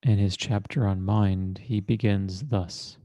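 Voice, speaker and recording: A man leading a guided meditation as a teacher, speaking in a deliberate, measured way with long pauses and formal, precise wording. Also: fairly low pitch, steady tone, mellow, dry recording.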